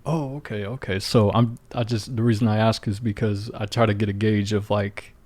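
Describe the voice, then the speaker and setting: A man's voice: lowish voice, steady tone, moderate pace, a little mellow, no room sound. A man in his thirties hosting a casual interview, asking hesitant questions with restarts. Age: 30s. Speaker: podcast host.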